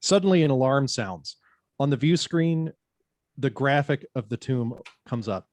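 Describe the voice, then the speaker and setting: A man's voice: mid-range voice, lively intonation, measured pace, darkish tone, no echo. A man reading stage directions as narrator in a scripted table read.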